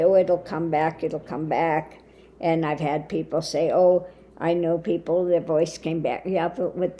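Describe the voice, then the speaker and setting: A woman talking casually to her viewers in a halting way, her voice lowered and weakened after throat surgery. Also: warm tone. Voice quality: lowered, limited voice. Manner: slow, halting, with many fillers. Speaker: spiritual channel host. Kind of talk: casual monologue to camera.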